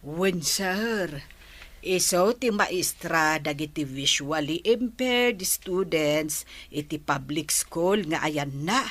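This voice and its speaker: A woman's voice: low voice, takes it slowly, a bit bright, full character voice. A woman playing a respectful, devoted elderly housemaid and grandmother in a radio drama, speaking deferentially with an Ilocano accent. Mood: admiring, animated.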